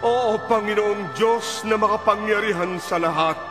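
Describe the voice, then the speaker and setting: Man as high, reverberant heavenly voice. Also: measured pace. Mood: reverent. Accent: Filipino. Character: reverent heavenly altar voice praising God. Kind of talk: Bible audio drama acting. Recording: long hall reverb under loud music.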